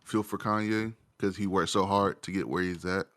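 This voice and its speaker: Casting: male, deep voice, casual conversational tone, close dry recording. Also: fairly even intonation, moderate pace, fairly bright tone, no room sound. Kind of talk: casual chat.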